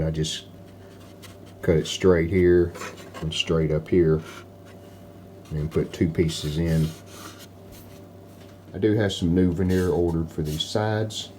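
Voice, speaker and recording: A man giving casual, plainspoken, unhurried narration of hands-on workshop work, like a DIY video host. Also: a bass voice, fairly even intonation, dark tone, a little hiss or hum. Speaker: host of a restoration channel.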